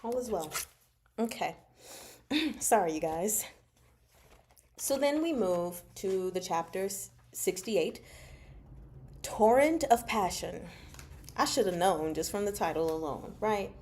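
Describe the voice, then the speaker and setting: A woman hosting a casual book discussion, speaking from notes in an animated, giggly, self-interrupting way. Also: medium pitch, takes it slowly, pauses often, a little hiss or hum. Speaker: host of a book discussion show. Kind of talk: casual fan discussion, reading from notes and comments.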